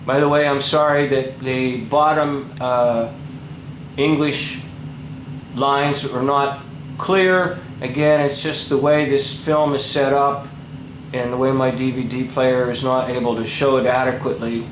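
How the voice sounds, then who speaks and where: A man's voice: medium pitch, fairly even intonation, unhurried, echoey room, noisy background. A man commenting over a documentary in an indignant, lecturing way.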